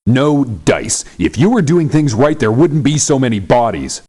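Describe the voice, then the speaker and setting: An actor playing a brash, sarcastic mercenary locksmith in a video game, with a scornful way of talking. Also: male voice, medium pitch, big pitch swings, measured pace, warm tone, husky. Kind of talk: video game character voice lines.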